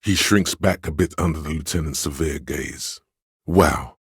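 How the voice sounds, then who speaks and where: Very deep, commanding inner voice of authority. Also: male voice, husky, no echo, studio quality, heavily acted. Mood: stern, appraising. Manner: commanding, deliberate, imperious. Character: inner voice of Authority, proud, domineering male narrator. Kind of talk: scripted video game voice acting.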